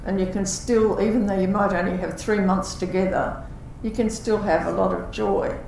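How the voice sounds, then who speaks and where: A woman's voice: mid-range voice, steady tone, moderate pace, vocal fry, darkish tone. An older woman, a former carer, talking earnestly and reflectively about looking after her husband, with restarts and quoted dialogue. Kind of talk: reflective conversation. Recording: echoey room, far from the mic, faint noise.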